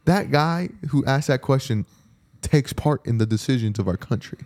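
A man's voice: medium pitch, normal speed. A man in a casual chat, telling stories in slangy speech and acting out exchanges as a podcast co-host. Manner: animated storytelling, acting out quoted exchanges.

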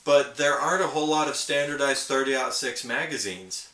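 A man with a bright, crisp-edged voice of middling pitch chats as a podcast co-host. He explains details in the casual way of a keen hobbyist, recorded in a room with clear echo. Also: normal speed.

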